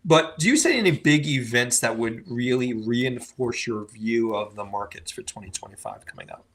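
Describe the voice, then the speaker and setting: A man hosting a crypto panel, slightly hoarse and speaking in a conversational, steering way. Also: mid-range voice, very animated, normal speed, a bit bright. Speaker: host. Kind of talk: panel discussion.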